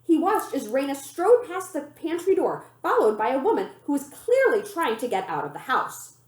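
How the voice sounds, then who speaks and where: A woman reading a children's novel aloud as an expressive, animated storyteller. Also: high-pitched, normal speed. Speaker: librarian reading a book aloud. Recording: roomy, echoey room sound.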